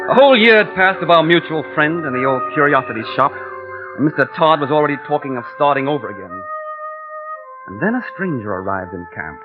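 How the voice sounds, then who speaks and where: A trapped traveler in a radio thriller, voiced by an actor, with a fairly high voice that climbs and falls sharply as he pleads and accuses. Music runs under the dull, muffled sound of an old radio broadcast. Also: lively intonation, brisk, lightly acted. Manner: earnest, pleading, rising to demands. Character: trapped traveler growing desperate. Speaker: narrator and lead. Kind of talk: 1940s radio acting.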